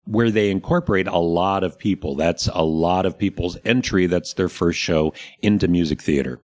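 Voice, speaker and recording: A man's voice: deep voice, normal speed, darkish tone. A man hosting a casual talk show about local happenings, speaking in a plain-spoken, encouraging way. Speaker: radio show host. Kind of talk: casual theater chat.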